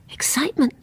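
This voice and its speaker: An actress playing a lonely, dreamy, troubled married woman in a radio drama, with a British accent and a confessional, sighing manner. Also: fairly bright tone. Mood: haunted, confessional.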